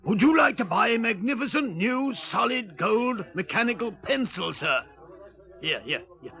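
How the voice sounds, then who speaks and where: A high, gravelly Cockney informant in an old radio crime drama. He is cheeky and wheedling, always deferential as he angles for a few coins. The sound is narrow and tinny. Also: animated, moderate pace. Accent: London Cockney. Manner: ingratiating, deferential. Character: wheedling male pub informant, hard up and cheeky. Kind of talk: 1950s radio drama acting.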